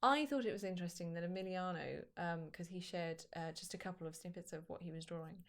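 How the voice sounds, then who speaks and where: Woman, slightly low voice, chatty, casual stream talk, restarts a lot. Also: quick pace. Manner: chatty, self-deprecating, restarts. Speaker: comic writer co-host. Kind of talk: casual live-stream chat.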